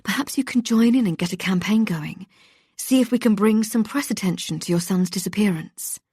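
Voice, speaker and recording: A woman voicing a cheery young female radio presenter with a smooth, velvety voice in an audiobook. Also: medium pitch, brisk, no room sound, studio quality, pitched above the performer's own voice. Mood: chirpy. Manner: bright and chirpy. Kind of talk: audiobook character voice.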